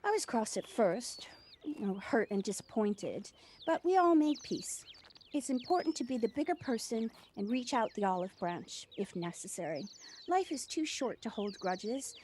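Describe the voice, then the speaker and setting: Woman acting as proud widowed mother, higher voice, wistful measured storytelling, audio drama, noisy home recording. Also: very animated, darkish tone. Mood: matter-of-fact. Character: proud, devoted widowed mother who runs a village cafe. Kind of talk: audio play dialogue, reflective storytelling.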